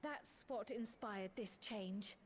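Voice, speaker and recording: A woman's voice: medium pitch, slow, slight background noise, natural voice. A woman voicing a polite, determined young scholar and magic user in a video game, speaking in a formal, articulate, earnest way. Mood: earnest, resolute. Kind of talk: video game cutscene acting.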